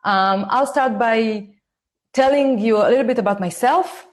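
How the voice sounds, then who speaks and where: Slow-spoken Israeli woman giving a talk. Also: on the high side. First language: Hebrew. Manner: slow, deliberate, and careful for her listeners. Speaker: parenting speaker. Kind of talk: live online talk to parents. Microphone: phone.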